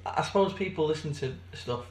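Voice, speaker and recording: A man co-hosting a podcast in casual banter, his voice slightly hoarse, with a dry, teasing manner. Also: a little high, a little fast, darkish tone, roomy sound. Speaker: podcast co-host.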